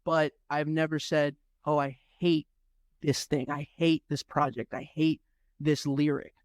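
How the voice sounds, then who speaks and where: Young male artist, opinionated talker, slightly high-pitched, dry mic. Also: little pitch movement, unhurried, dry recording. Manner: opinionated, reflective, speaks in long stretches. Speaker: rapper guest. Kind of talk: casual chat. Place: studio room.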